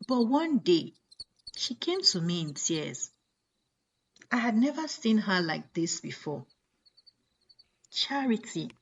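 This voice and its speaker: A woman narrating a scripted first-person story in a measured, dramatic storytelling manner. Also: mid-range voice, a little hiss or hum.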